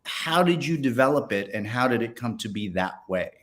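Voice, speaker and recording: Man, average pitch, casual interviewer, conversational delivery. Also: expressive, moderate pace, fairly bright tone. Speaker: interviewer, fellow actor.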